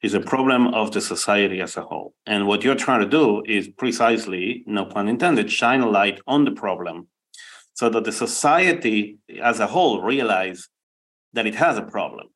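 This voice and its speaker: A man with an Argentinian accent interviews a guest, thinking aloud and stammering as he starts sentences. His voice is fairly low. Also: expressive, moderate pace, a bit bright. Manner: thoughtful, stammers at starts, frequent "right".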